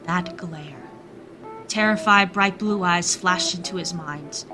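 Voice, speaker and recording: A woman's voice: on the low side, slow, over music. A woman reading scripted third-person narration as the storyteller of a noir mystery radio play. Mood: storytelling.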